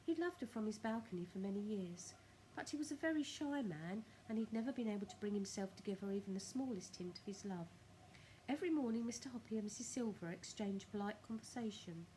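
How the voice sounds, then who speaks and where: Female primary school storyteller: bright expressive reading, swooping intonation, careful dramatic phrasing, slight noise behind. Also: a little high, poor audio. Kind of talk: expressive children's story narration.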